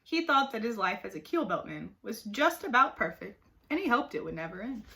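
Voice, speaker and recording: A woman reading a children's story aloud like a teacher, with expressive, dramatic emphasis. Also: fairly high pitch, a little fast, creaky. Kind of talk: animated storybook narration for children.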